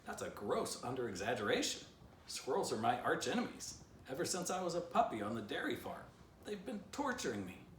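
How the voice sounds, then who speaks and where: A man voicing an excitable male dog in a storybook, ranting melodramatically and indignantly. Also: medium pitch, brisk, pitched above the performer's own voice, natural voice. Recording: roomy echo, distant mic, faint noise.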